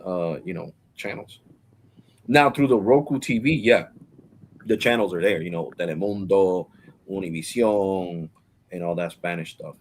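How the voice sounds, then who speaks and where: Low-voiced slangy man in his forties. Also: a little hiss or hum. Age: 40s. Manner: slangy, rambling, calls listeners bro. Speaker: live stream host. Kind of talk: casual live stream chat.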